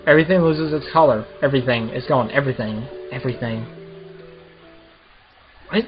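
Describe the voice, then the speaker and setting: A man's voice: mid-range voice, normal speed, highs cut off, faint music behind. A man doing a casual let's play, reading visual novel text aloud and commenting as the host of a gaming video.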